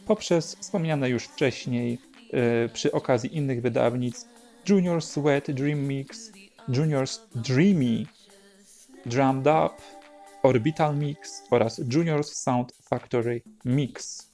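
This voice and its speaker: A man narrating an unhurried show-and-tell as host, in a measured, explanatory way. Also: mid-range voice, warm tone, soft background music. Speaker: host presenting a record collection.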